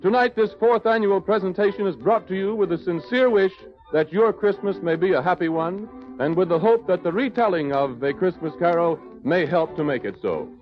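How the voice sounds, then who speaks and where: A male American announcer from 1930s radio with a fairly high-pitched voice. He reads sponsor copy formally and with measured polish. The broadcast sounds dull and dated, with music behind him. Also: expressive.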